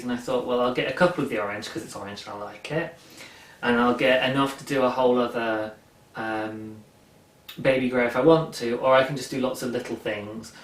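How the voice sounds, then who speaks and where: A man's voice: medium pitch, distant mic. A young man hosting a knitting video podcast from his sofa, chatting in a rambling, self-amused way with plenty of ums. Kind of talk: casual chat. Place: living room, seated on a sofa. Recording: echoey room, voice slightly off-mic.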